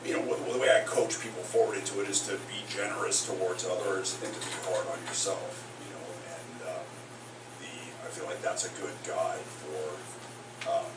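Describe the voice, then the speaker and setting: A touring songwriter, a man, chatting onstage between songs in a halting, rambling way full of fillers. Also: sits low, fairly even intonation. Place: concert house, live in front of an audience. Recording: roomy live hall, distant, noisy.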